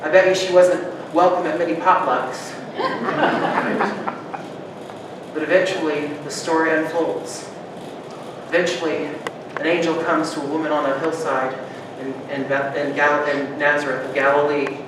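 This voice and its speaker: A man preaching a sermon as pastor, moving between loud rousing calls and soft hushed reflection, joking easily with his congregation. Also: a little high, steady tone, unhurried. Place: church sanctuary. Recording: distant mic, strong room echo, background noise.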